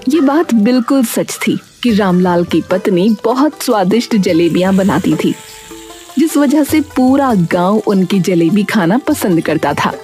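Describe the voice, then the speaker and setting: A woman giving steady, scripted narration for a cartoon story. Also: mid-range voice. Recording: faint music behind.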